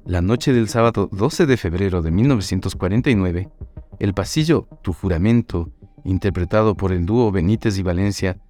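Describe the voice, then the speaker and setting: A man narrates a scripted documentary story. His pitch is low, and faint music plays behind him. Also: moderate pace, dark tone. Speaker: guest narrator.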